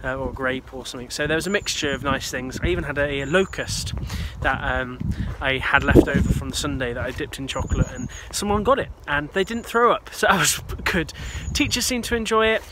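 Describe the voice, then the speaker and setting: British guy talking on a walk, slightly higher voice, traffic noise behind. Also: a little fast, hardly any pauses. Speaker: vlogger, youth speaker. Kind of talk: casual vlog chat. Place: outdoors, walking the dog. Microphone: phone camera.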